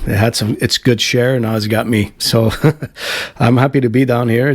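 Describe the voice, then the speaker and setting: Rambling middle-aged Canadian man, lower voice. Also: no echo. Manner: rambling, loose, many fillers and restarts, swears casually. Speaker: band vocalist hosting a web show. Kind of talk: casual chat to camera. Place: home, Florida.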